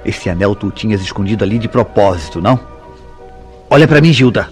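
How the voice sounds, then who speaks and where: A man's voice: fairly low pitch, animated, a little fast, lightly acted. A Brazilian man acting a jealous, suspicious newlywed husband in a radio soap opera, speaking in a formal, theatrical way. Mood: stern, accusing. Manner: formal, theatrical, interrogating his wife. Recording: radio drama with music behind.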